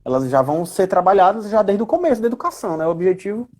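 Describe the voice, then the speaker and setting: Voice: mid-range voice, big pitch swings, rapid-fire, a little mellow. Brazilian guest explaining in a casual live chat. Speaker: guest expert on role-playing games in education.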